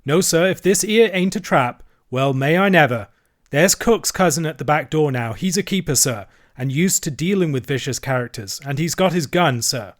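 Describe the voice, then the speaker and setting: A man's voice: fairly high pitch, lively intonation, moderate pace, close to an everyday voice. Man playing a rustic manservant, storybook character, stubborn, wary, blunt folksy speech, dropped aitches.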